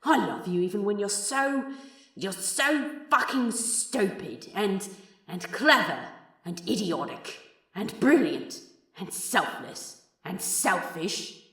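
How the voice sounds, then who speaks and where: Casting: tormented male demon for audiobook fan fiction, performed by a woman, impassioned and halting, very animated, echoey recording. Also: medium pitch. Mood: anguished, exasperated. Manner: sweary, impassioned, halting confession. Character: lovesick, foul-mouthed male demon, tormented and exasperated. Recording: echoey, distant from the mic.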